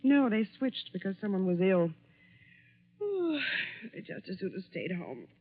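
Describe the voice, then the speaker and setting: A woman playing an evasive, anxious wife in a 1940s radio drama, speaking in a flustered, halting way. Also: mid-range voice, big pitch swings, breathy edge. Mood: tired, evasive. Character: evasive, anxious wife under police questioning. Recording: thin, tinny 1940s radio recording.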